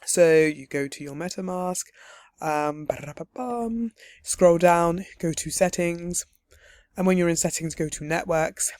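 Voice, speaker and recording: A man with a hoarse, husky voice from losing it, giving a chatty, upbeat screen-share walkthrough of a crypto setup. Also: fairly high pitch, a little slow, a little mellow, no room sound. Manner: chatty and upbeat, with light laughs and frequent um's. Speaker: crypto tutorial creator.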